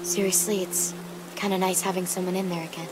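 A woman's voice: fairly low pitch, flat delivery, brisk, lightly acted, low-quality recording. A woman playing a guarded young survivor in a game drama, understated and awkwardly sincere. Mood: awkward, wistful. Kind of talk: naturalistic video game drama acting. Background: music.

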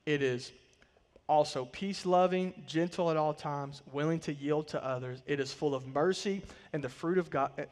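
Man, 30s, pastor, slightly high voice, emphatic sermon delivery, big hall reverb. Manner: emphatic preaching, voice swelling and dropping to quiet line endings. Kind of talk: sermon with scripture reading. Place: large church stage, indoors.

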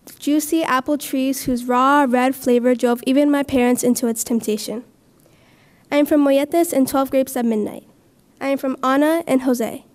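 High-pitched, soft-toned teenage girl reciting. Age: teens. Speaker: student poet. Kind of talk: poem recitation. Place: school stage at a podium. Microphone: gooseneck podium microphone.

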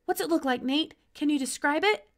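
A woman voicing a friendly, excitable male doctor in a storybook, full of brisk, eager questions. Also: high voice, pitched above the performer's own voice.

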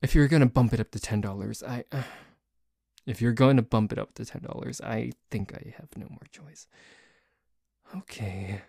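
Man acting a mellow, grumbling tsundere boyfriend. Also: mid-range voice, vocal fry, husky, no echo, clean studio sound. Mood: reluctant. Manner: bickering, halting, sighing, cocky. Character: dorky, jealous, grumbling tsundere boyfriend who dislikes a cat. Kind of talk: ASMR boyfriend roleplay.

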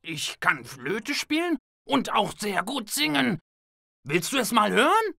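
Woman voicing a slow-talking, scheming cartoon wolf. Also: a little high, big pitch swings, gravelly, sharp tone, no echo, studio quality, heavily acted. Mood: wheedling. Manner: drawn-out, boastful, scheming delivery. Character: sly, scheming male big bad wolf. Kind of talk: children's cartoon voice acting.

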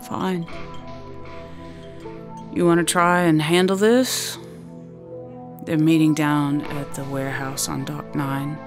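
A remorseful drinker in a radio drama. Her voice sits very low and she drags through her words, heavy-hearted. Music plays behind her. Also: steady tone, takes it slowly, long pauses. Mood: resigned. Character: drunken remorseful woman at a bar.

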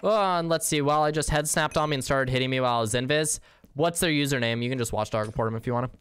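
A man's voice: mid-range voice, fast, a bit bright, clean tone, no echo. A young man casually chatting with viewers while playing a game, slangy and easygoing, with the odd swear. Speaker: streamer. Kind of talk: casual game livestream, reading chat.

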